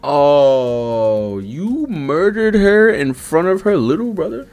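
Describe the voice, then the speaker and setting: A man's voice: on the high side, sing-song, takes it slowly, a little mellow, faint music behind. A man in his thirties gives casual, slangy, profane live reaction commentary into a close desk microphone, reading panels aloud as he goes. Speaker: manga reaction streamer. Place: small indoor streaming setup. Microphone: close desk-arm microphone.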